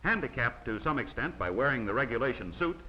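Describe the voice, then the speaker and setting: A man reading scripted instructional narration in a measured way, as the narrator of a training film. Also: mid-range voice, lively intonation. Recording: dull, noisy old 16mm film soundtrack.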